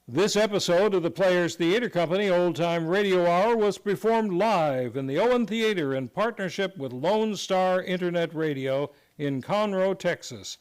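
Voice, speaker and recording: Guy doing radio show credits, pretty high crisp voice, formal and polished. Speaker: announcer. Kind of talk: scripted radio show sign-off announcing. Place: live on a theater stage.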